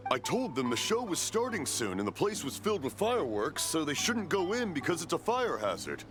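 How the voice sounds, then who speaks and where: An aging fireworks-maker father in a fantasy game, anxious and earnest. His delivery is urgent and wildly swinging in pitch, with game music clearly behind him. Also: wildly swooping pitch, a little fast. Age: older. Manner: urgent, reporting bad news.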